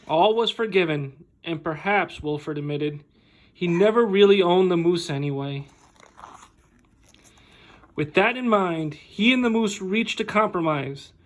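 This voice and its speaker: Man, high voice, children's storytime reader, animated, deliberate phrasing, expressive. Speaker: school staff member reading a picture book.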